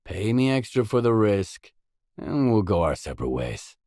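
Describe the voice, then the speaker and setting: A man playing a gruff, pragmatic stalker mercenary in a video game, speaking in a terse, matter-of-fact way. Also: on the low side, sing-song, normal speed, a little mellow, dry recording, studio quality. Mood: businesslike, guarded. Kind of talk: video game dialogue acting.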